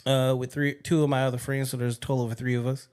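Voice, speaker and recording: A man's voice: medium pitch, level tone, quick pace, darkish tone, clean tone. Podcast host guy, frank and loose, swears a lot, plain middle voice. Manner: frank, candid, swears casually. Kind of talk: casual interview chat.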